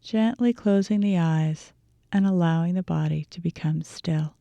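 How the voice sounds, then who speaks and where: Woman meditation teacher, dark mellow voice, very dry sound, gentle guiding with pauses. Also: fairly low pitch, vocal fry, no echo. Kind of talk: guided meditation instruction.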